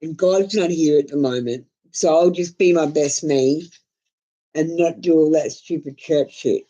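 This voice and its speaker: Female live-stream tarot reader, unhurried and soft-toned, informal and plain-spoken in her advice. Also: low-pitched, highs cut off. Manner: blunt, informal, sweary. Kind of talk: casual live tarot reading, giving advice.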